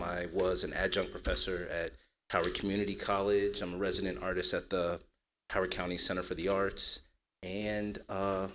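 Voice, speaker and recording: Flat, low-voiced man. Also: noisy background. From Columbia, Maryland. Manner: casual, hesitant with fillers. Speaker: panelist, artist. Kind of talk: panel introduction.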